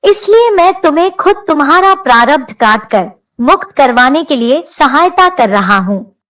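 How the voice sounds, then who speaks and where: A woman voicing a benevolent male god who explains things calmly in a devotional story. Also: high voice, normal speed.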